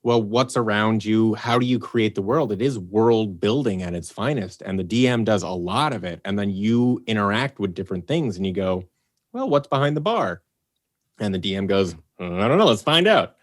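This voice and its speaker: A man chatting on a video call, telling animated stories and acting out quoted lines. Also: fairly low pitch, moderate pace. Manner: animated storyteller, acts out quotes. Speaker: podcast guest, head writer. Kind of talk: casual chat on a video call.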